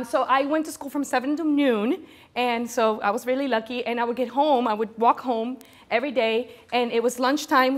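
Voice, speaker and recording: A woman from Venezuela giving a motivational business talk to an audience, speaking with enthusiastic, conversational emphasis and repeating words to drive points home. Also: fairly high pitch. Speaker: conference speaker, brand storyteller.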